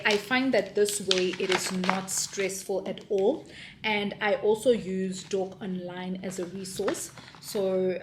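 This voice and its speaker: A woman in her thirties with a South African accent, talking through her homeschool plans in a relaxed, casual way. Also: medium pitch, reverberant, a little hiss or hum. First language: not Afrikaans. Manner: relaxed, many ums and restarts. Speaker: homeschooling mom and vlogger. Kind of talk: casual explainer vlog.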